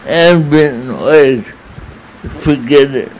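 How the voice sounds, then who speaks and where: Very slow, halting man. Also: medium pitch, slight background noise, low-quality recording. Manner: halting, repeats words. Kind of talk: group discussion.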